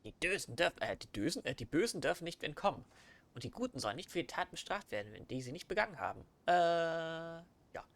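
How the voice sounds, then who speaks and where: A man's voice: fairly high pitch, very animated, quick pace, a bit bright, slight background noise. A man with a German accent giving casual, rambling let's play commentary with teasing banter, game music behind him.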